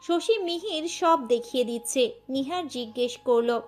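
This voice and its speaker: High-pitched woman narrating a story. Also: a little slow. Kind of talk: scripted audio story narration. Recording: music under the voice. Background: background music.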